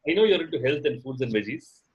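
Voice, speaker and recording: A man's voice: medium pitch, lively intonation, fast, husky, lo-fi sound. A man from India leading a coaching session on a video call, encouraging, often saying "absolutely" and "you know". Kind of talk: facilitating a group video call.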